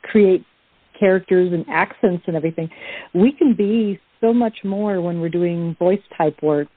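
A woman with a slightly low, husky voice talks casually in a panel discussion. She explains things earnestly, and the audio is thin and phone-like, with little room sound. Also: unhurried, no room sound. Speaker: voice actor and cast member.

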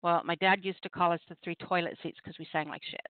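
A woman's voice: fairly low pitch, fairly even intonation, fast, clean tone, no echo. A middle-aged woman hosting a call-in poetry radio show, chatting casually with playful, self-deprecating banter and storytelling. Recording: muffled, dry radio call-in line.

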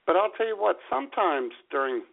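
A man's voice: high voice, very animated, a little fast, creaky, breathy edge. A man co-hosting a comedic radio show, trading playful banter and slipping into mock grumpy voices. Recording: phone-like radio audio.

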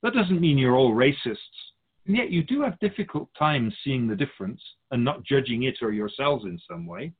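Radio drama spirit guide, acted by a man: wry, didactic sage, husky and lively in pitch, narrow telephone-like sound. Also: moderate pace. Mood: measured, instructive. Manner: didactic, wry, formal phrasing. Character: Keeper of Souls, wise, wry otherworldly male spirit guide. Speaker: spirit teacher answering questions. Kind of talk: scripted radio drama dialogue, expository.

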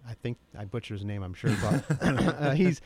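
A middle-aged man chatting informally and a bit haltingly as a guest on a radio show. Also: mid-range voice, expressive, darkish tone, no echo. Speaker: interview guest, documentary maker. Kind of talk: casual radio chat.

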